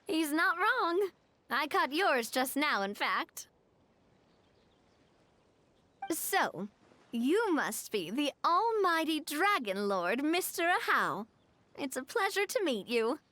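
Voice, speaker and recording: A woman's voice: high voice, sing-song, slow, sharp tone, exaggerated voice. A woman playing a cheerful, gracious young lady leader in a video game, friendly, polite and poised. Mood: amused, gracious. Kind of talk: video game character acting.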